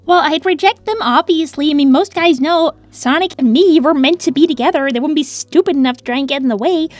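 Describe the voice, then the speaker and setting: Cartoon hedgehog girl played by a woman: very high, lovestruck, easily flustered, close dry sound over music. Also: a little mellow, dry recording, close to an everyday voice. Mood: breezy, dismissive. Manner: gushing, quick to get flustered and defensive. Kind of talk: in-character cartoon Q&A.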